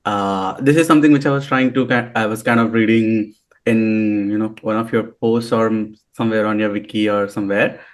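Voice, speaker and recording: A man hosting a podcast, friendly and quick to laugh, asking questions with some hesitation. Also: lowish voice, steady tone. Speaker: podcast host. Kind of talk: casual podcast interview.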